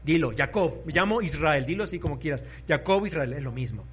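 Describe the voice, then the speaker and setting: Preacher guy, kinda high voice, goes soft then loud, gets the crowd repeating. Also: lively intonation, fairly quick, husky. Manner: fervent, swings between soft and loud, exhorts listeners to repeat after him. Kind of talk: sermon.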